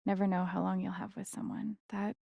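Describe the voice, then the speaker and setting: A woman voicing an earnest, gentle young game heroine with a wry playful side, in a casual, conversational way. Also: medium pitch, flat delivery, brisk, creaky, dry recording, studio quality. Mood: soft, concerned. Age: young adult. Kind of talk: naturalistic video game voice acting.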